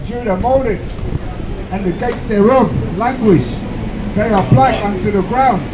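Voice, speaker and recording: A man reading Bible verses aloud slowly and deliberately on a street. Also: high voice. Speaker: scripture reader. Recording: street traffic noise.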